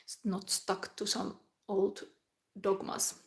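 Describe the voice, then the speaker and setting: A middle-aged woman from Finland, giving a live tarot reading in a slow, chatty way that often drops into a whisper. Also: medium pitch, completely flat delivery. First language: Finnish. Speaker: tarot reader.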